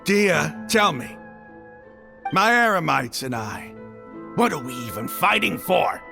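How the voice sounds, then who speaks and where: A man's voice: on the high side, very animated, vocal fry, music in the background, exaggerated voice. A man playing a proud desert faction leader in a video game, dramatic and impassioned, shaken by remorse. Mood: anguished. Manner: impassioned, dramatic, halting when humbled.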